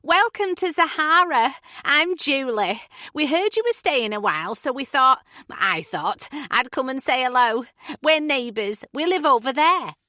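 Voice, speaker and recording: A woman's voice: high voice, lively intonation, bright, forceful tone, no echo, clean studio sound, voice pushed up, heavily acted. A woman voicing a chatty, hyperactive middle-aged neighbour with a slight northern English accent, talking over everyone in a storybook reading. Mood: effusive. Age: 40s-50s. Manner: gushing, rapid-fire, overbearing.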